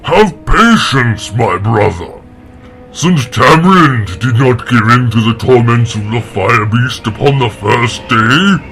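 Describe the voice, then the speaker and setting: Man as a slow, solemn fantasy warrior. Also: medium pitch, expressive, fairly bright tone, a little hiss or hum. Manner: stately, formal. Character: solemn, patient, pious non-human warrior brother. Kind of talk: fantasy audio drama acting.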